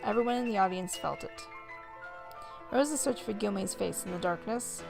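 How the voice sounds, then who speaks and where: A woman hosting a let's play, reading visual novel text aloud in a measured way with casual asides. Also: medium pitch, creaky, music in the background. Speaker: host of a gaming video series.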